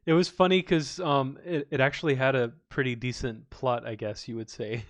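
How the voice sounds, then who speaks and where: A man's voice: mid-range voice, fairly even intonation, moderate pace, no echo. A man from Hungary co-hosting a podcast in casual chat, hesitant and often restarting his sentences. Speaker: podcast co-host.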